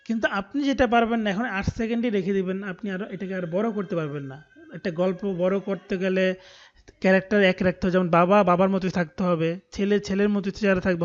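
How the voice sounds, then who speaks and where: A man with a Bangladeshi accent giving an explanatory screen-recorded tutorial, often checking in with listeners. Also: high voice. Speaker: online course tutor. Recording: dull, muffled lo-fi voice recording.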